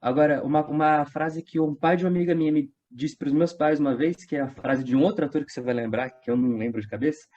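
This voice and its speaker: Man, 20s, São Paulo state accent; higher-pitched, chatty storyteller for casual interview, lo-fi sound. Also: fairly even intonation, fast, hardly any pauses, a little mellow. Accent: Brazilian, São Paulo state. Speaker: theatre company actor, interview guest.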